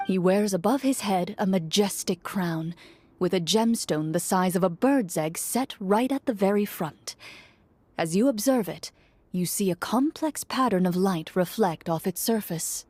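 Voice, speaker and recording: A woman acting as a composed game master, narrating a fantasy adventure in a measured storytelling manner. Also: mid-range voice, darkish tone, no echo, natural voice. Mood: measured, ominous. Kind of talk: scripted atmospheric narration. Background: background music.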